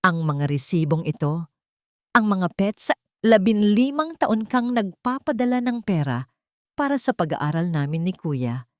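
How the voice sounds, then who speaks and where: A woman's voice: fairly low pitch, big pitch swings, measured pace, dry recording. Lady doing a young daughter, upset and tearful, pleading, loyal, audio drama, dry sound.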